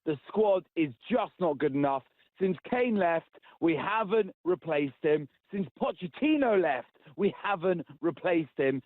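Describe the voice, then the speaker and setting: A man's voice: on the high side, expressive, a little slow, no echo. A man calling a radio phone-in from his car, exasperated and repeating himself. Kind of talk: casual phone-in call. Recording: tinny phone line.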